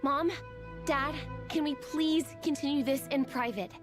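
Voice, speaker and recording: A young daughter in a video game. Her high voice is meek, embarrassed and pleading, with clear music behind it. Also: measured pace.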